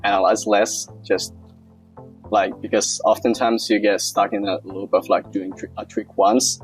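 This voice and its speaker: A man's voice: on the low side, little pitch movement, measured pace, rough recording. Young man chatting, music behind. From Finland. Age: 20s. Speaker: interviewee, acroyoga practitioner. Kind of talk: casual interview.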